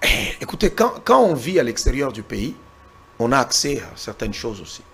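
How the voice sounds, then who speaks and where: Male guest from Congo, emphatic debater with a husky, animated voice. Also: medium pitch, normal speed. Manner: emphatic, repeats words when pressed, cites the Bible. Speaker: interview guest. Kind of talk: heated political debate.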